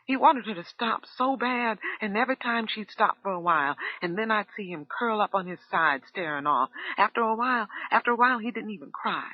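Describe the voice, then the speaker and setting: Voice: big pitch swings, normal speed, breathy edge, dry recording, lo-fi sound. A reader voicing a furious middle-aged woman cook who screams accusations and then breaks down crying, in an audiobook. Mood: sobbing. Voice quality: shrill, screaming. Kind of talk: audiobook character voice.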